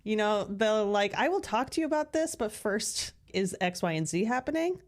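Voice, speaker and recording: A woman's voice: mid-range voice, animated, moderate pace. A woman co-hosting a podcast, talking casually and thoughtfully, often saying "like". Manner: thoughtful, chatty, many "like" fillers. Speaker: podcast co-host. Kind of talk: casual conversation.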